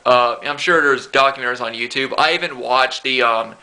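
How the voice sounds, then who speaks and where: Young man, stuffy nose, very bright tone, rambling casual chat, lots of ums and restarts. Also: medium pitch, fairly quick, rough recording. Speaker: collector vlogger. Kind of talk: casual to-camera show-and-tell.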